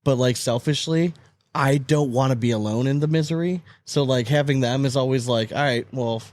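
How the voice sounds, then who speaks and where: A man's voice: mid-range voice, moderate pace, a little mellow, no echo. A man in his thirties hosting a podcast in an informal, slangy, chatty way. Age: 30s. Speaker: podcast host. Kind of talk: casual podcast chat.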